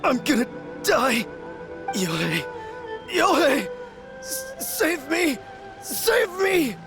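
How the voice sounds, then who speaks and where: A crazed game villager, very slow, gravelly delivery, swooping pitch. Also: male voice, big pitch swings, music in the background, heavily acted. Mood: pleading. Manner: bombastic, mocking, then pleading. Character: young man obsessed with manliness, possessed and unhinged. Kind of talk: video game voice acting.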